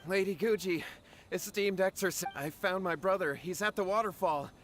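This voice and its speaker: A man's voice: sits high, normal speed, a bit bright, faint music behind. A man voicing a hesitant, obliging young villager in a game, flustered and deferential. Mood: urgent.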